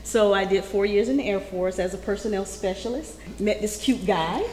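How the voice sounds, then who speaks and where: A woman, telling her life story in a warm, chatty way. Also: medium pitch, moderate pace, a little hiss or hum. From South Carolina, US. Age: 50s. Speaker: interviewee. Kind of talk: casual conversation. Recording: echoey room with long reverb.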